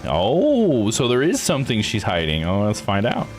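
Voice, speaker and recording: Low-voiced man commenting casually on a game. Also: wildly swooping pitch, normal speed, soft background music. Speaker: game streamer. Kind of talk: casual live playthrough commentary.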